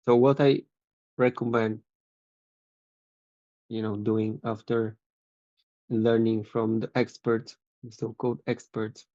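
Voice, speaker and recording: A man hosting a casual podcast chat over a video call as a language teacher, unhurried and laughing often. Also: mid-range voice, flat delivery, mellow, dry recording, highs cut off. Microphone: video call microphone.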